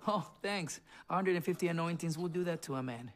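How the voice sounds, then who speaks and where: A man playing a charming, vain young prince in an animated film, breezy yet earnest. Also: on the high side, a little fast, very noisy, close to an everyday voice.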